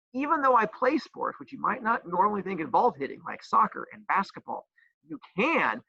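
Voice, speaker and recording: A male teacher walks his class through homework, bouncing all over in pitch with jokes and mock outrage. His voice is bright and pushed forward. The recording is dry and a little dull. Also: vocal fry, husky, no echo. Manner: joking, playful teasing; says he has a loud voice. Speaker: teacher and school coach. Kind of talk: explaining a homework assignment.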